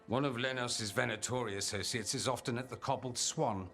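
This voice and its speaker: A man's voice: mid-range voice, fairly quick, a bit bright, natural voice. A man playing a suave, witty, self-assured mage in a fantasy game, with a dry, sardonic, theatrical delivery. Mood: grave. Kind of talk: dramatic game dialogue. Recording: reverberant hall, music underneath.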